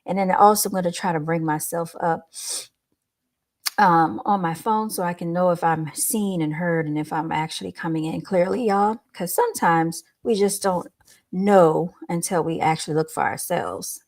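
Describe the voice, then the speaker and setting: A woman's voice: on the low side, normal speed, a little mellow. Lady w a light voice, livestream host just chatting casually w her viewers, says y'all. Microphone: speaking into a microphone.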